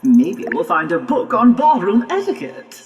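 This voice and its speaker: A woman's voice: fairly low pitch, very animated, a little slow, a little hiss or hum. A woman voicing a chatty, know-it-all robot guide in a video game, explaining things in a prim, wordy way. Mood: eager, explaining. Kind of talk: video game cutscene dialogue and narration.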